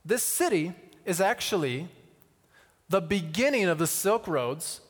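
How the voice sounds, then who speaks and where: A man giving a conversational sermon with personal stories, using deliberate pauses and repetition and touches of wry humour. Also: high-pitched, expressive. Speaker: missionary speaker. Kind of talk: sermon with personal storytelling to an audience. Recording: reverberant hall.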